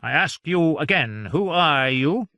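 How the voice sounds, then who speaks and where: A pompous royal physician speaks down to everyone in a raised, commanding voice, as a male reader puts him on. His tone is haughty and scornful, with a bright, forceful ring and lively swings of pitch. He sounds like a storybook doctor sure of his own authority. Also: measured pace, no echo, studio quality, exaggerated voice.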